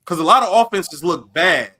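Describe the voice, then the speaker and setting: Bright-voiced American man talking sports. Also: high voice, lively intonation, moderate pace. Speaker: podcast co-host. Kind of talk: casual sports chat.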